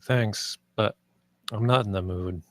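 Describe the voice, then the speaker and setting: A man's voice: on the low side, measured pace, a bit bright, no room sound, studio quality. A man reading the part of a wistful, idealistic theme-park designer at a screenplay table read, earnest and understated. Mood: dejected.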